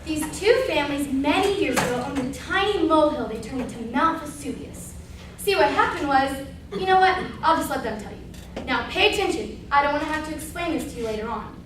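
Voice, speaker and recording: A woman playing a high-voiced, wry landlady ghost in echoey hall. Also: expressive, brisk. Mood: wry, exasperated. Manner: chatty asides to the audience, exasperated, colloquial. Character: wry, blunt murdered landlady narrating her own death, female. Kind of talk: amateur stage comedy acting. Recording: echoey stage recorded from far, faint noise.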